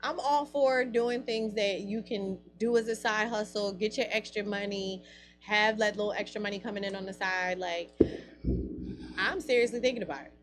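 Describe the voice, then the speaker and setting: A woman co-hosting a radio talk show, blunt and animated, laughing as she tells stories. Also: medium pitch, moderate pace. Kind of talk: casual chat.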